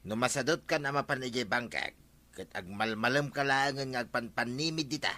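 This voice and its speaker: A man's voice: mid-range voice, a little fast, bright, forceful tone, lightly acted. A man with an Ilocano accent playing a nagging farmer husband in a radio comedy drama. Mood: chiding. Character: nagging farmer husband, fond of his fields.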